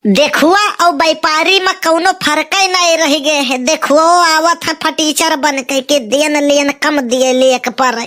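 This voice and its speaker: Brief: cocky, aggrieved cartoon son, very high bright voice, Awadhi accent. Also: male voice, fairly even intonation, unhurried, heavily acted. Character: tiny grown-up son, cocky, aggrieved, itching to marry. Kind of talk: amateur comic cartoon dub in dialect.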